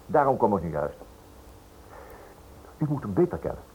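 Radio play writer: inquisitive, hesitant, slightly low, animated intonation, on an old noisy recording. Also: male voice, normal speed. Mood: insistent, curious. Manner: hesitant, polite, stammering over words. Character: inquisitive, hesitant writer probing a criminal case. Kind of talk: Dutch radio drama acting.

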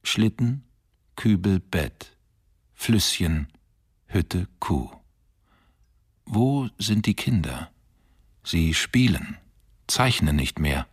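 Deep husky guy reciting a sad poem real slow. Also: sing-song, dry recording, clean studio sound.

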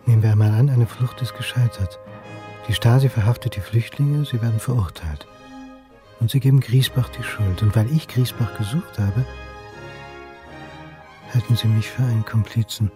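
A man playing a brooding academic sleuth in a radio play, with music behind him. His voice sits at a middle pitch. He is terse and pensive, turning dogged and probing as he questions. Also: moderate pace, long pauses, close to an everyday voice. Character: brooding, dogged male history lecturer turned amateur sleuth. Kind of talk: radio drama acting.

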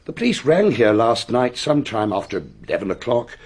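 An actor playing an emphatic, excitable English gentleman with an upper-class accent and a husky voice in a radio drama. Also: medium pitch, brisk. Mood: sombre.

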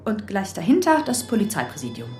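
Cheeky, very lively detective assistant. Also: female voice, mid-range voice, fairly quick, echoey room, faint music behind. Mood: provocative, probing. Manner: provocative, pointed questioning. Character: cheeky, persistent young female police detective assistant. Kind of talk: crime radio drama acting.

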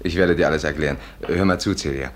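An actor playing a brisk, placating young boyfriend with schemes, in a 1950s German radio play. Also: male voice, a bass voice.

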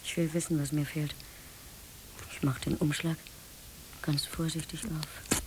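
A nervous, secretive woman clerk with a lowish voice. It is radio drama acting under clear noise. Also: little pitch movement, a little slow. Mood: anxious, hushed. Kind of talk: German radio play acting. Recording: noisy old radio recording.

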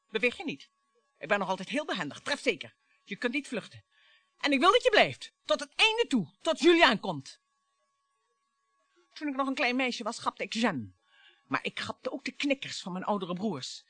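An actress playing an embittered, taunting wife in a radio play, with a theatrical, mocking and volatile delivery. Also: female voice, on the high side, fairly bright tone, no echo. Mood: menacing, then brittle, intense. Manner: dramatic, mocking, volatile monologues.

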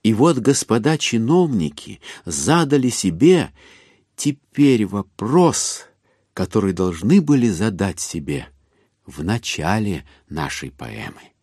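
A man's voice: fairly low pitch, big pitch swings, slow, a little mellow, slightly rough, no room sound. A man narrating a literary audio play in a wry storytelling manner.

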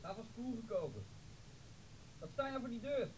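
High, flippant, teasing young man. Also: animated, moderate pace, darkish tone, a little hiss or hum, poor audio. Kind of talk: radio drama dialogue.

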